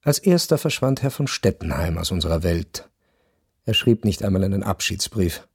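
An actor playing a reflective narrator who recalls his family's decline, in formal, measured literary narration for radio. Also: deep voice, animated, darkish tone, slightly rough, no room sound, studio quality, exaggerated voice. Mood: sober, measured.

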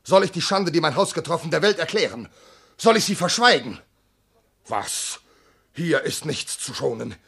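A humiliated general in a classical radio drama, declaims his outrage in verse. The voice is high and forceful with a raspy edge, swinging widely as he exclaims in tragic passion. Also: animated, normal speed, full character voice. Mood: despairing, vengeful. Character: outraged, humiliated Theban general denied his own identity.

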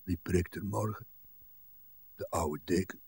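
An actor playing a gruff, morose old husband in a radio drama, terse and grumbling. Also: male voice, deep voice, measured pace, noisy background. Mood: dry, muttering. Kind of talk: broadcast radio drama acting.

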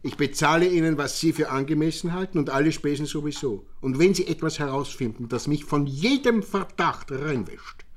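A man's voice: fairly high pitch, expressive, moderate pace, hardly any pauses, natural voice. An actor playing an eccentric, courteous male count with a formal, genteel manner in a radio drama.

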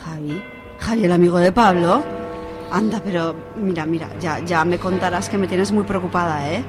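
A woman's voice: fairly low pitch, moderate pace, music in the background, lightly acted. A woman with a Spanish accent acting a defensive, easily exasperated young conservative in a radio drama. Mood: concerned. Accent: Spain. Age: young adult. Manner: interrupting, repeating words, protesting. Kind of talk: colloquial radio drama dialogue.

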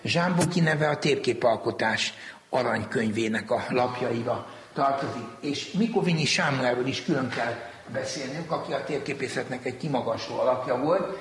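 A man being interviewed as a museum director, explaining history in formal, deliberate, scholarly phrasing. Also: mid-range voice. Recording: echoey, reverberant hall.

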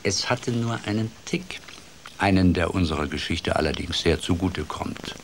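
A man's voice: deep voice, moderate pace, slight background noise. An actor playing a playful, wry older grandfather telling a fairy tale expressively in a radio play. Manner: expressive, dramatic, shifts between hushed and lively. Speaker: storyteller.

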